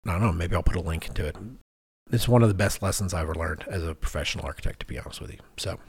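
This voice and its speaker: A man's voice: deep voice, rapid-fire, breathy edge. A middle-aged man co-hosting a podcast, telling stories in a casual, conversational way and acting out what people said. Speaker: podcast co-host.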